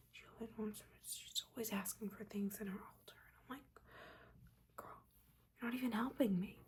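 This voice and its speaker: A woman voicing a judgmental but caring witch mentor in a breathy, chatty, chiding whisper, in a soft-spoken ASMR roleplay. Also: mid-range voice, slight background noise, close to an everyday voice. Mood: confiding, exasperated. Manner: chatty, chiding, casual slangy asides.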